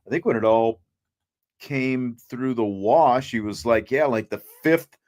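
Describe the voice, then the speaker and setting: A man's voice: medium pitch, a little slow, darkish tone. A man hosting a casual sports discussion, explaining his picks in a conversational way. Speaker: sports talk show host.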